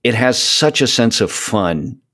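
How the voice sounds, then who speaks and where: A man's voice: mid-range voice, expressive, unhurried, a bit bright. A man chatting as a podcast guest, explaining things in an enthusiastic, rambling way. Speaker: podcast guest, voice of an audio show. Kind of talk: casual conversational interview.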